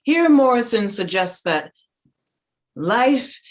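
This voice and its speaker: A woman giving a dramatic reading of a literary essay in a measured, reflective, articulate manner. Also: on the high side, big pitch swings. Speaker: reader in a staged reading.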